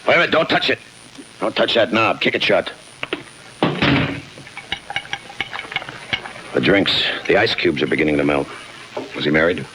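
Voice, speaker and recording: An actor playing a cynical, world-weary homicide detective in a 1950s radio crime drama, clipped, deadpan and sardonic. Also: male voice, deep voice, brisk, background noise. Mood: sardonic, probing. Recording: 1951 radio broadcast with music behind.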